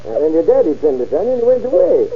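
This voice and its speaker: A kindly stammering cop. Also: male voice, a little high, sing-song, fast, slightly rough, heavily acted. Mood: coaxing. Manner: stammering, coaxing and fatherly. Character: kindly Irish patrolman, middle-aged man. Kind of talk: 1940s radio acting. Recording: dull old radio recording.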